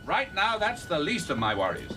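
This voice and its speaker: A man's voice: high-pitched, huge pitch swings, moderate pace, over music. A male actor playing a weary, preoccupied doctor, hesitant and dry, in a radio drama. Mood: weary, distracted. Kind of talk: understated radio drama dialogue.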